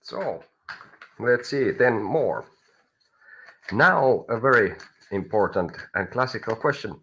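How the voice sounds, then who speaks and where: A man with a Finnish accent doing a live tarot reading, halting and searching for words as he goes. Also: fairly low pitch, expressive, bright, forceful tone, a little hiss or hum, rough recording. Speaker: tarot reader.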